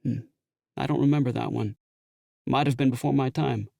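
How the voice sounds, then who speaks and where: An actor playing a youthful, keen police detective with slangy, chummy delivery in an audiobook drama. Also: male voice, medium pitch, steady tone, moderate pace, creaky, warm tone, no room sound, studio quality. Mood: puzzled. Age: young adult. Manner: slangy, casual, eager. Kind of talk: full-cast audiobook drama acting.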